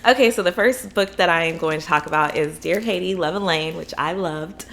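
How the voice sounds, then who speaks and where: A woman's voice: fairly low pitch, brisk, creaky, fairly bright tone, a little hiss or hum. A young adult woman from Florida reviewing books in a casual, rambling, enthusiastic vlog, full of fillers and asides. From Florida, USA. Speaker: book vlogger.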